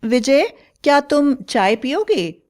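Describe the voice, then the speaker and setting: A woman's voice: high voice, very animated, slow, a little mellow, pitched above the performer's own voice, heavily acted. A woman voicing a young wife possessed by a witch, sweet, insistent and over-cheerful, in a cartoon horror story.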